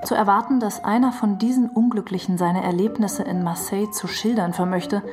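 A woman's voice: mid-range voice, fairly even intonation, brisk, music in the background. A woman giving a scripted literary reading of memoir and novel passages. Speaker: reader of literary quotations.

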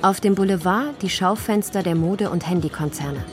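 A woman's voice: fairly low pitch, normal speed, soft background music. A woman reading scripted narration for a radio feature as its reporter.

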